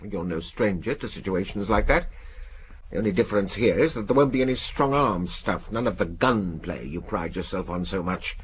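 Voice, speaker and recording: An English actor in a radio drama playing a brusque older spy chief, clipped, gruff and commanding. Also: male voice, deep voice, animated, normal speed, a little hiss or hum. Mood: brisk, confidential.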